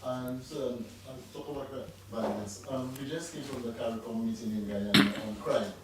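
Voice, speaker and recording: A man's voice: medium pitch, brisk, a little mellow. A man asking a question at a press briefing, hesitant and full of fillers. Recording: echoey room with clear noise.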